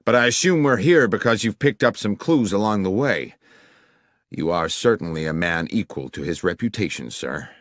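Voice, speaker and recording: A man voicing a polite, formal gentleman client in a mystery audiobook, uneasy and a little flustered. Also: lowish voice, animated, normal speed, no echo, studio quality, close to an everyday voice. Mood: courteously puzzled. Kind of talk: dramatised audiobook dialogue.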